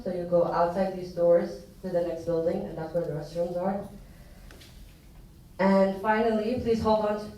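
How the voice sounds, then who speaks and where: A woman's voice: mid-range voice, dark tone. A woman from Sudan moderating an event, giving a prepared welcome speech to an audience. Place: event hall at an academy. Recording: echoey room, distant mic, faint noise.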